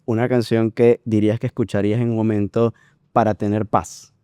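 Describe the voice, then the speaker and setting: A man's voice: fairly low pitch, level tone, fairly quick, mellow, clean tone, no echo, studio quality. A man hosting a podcast, asking long, rambling questions in casual talk. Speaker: podcast host. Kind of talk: casual interview.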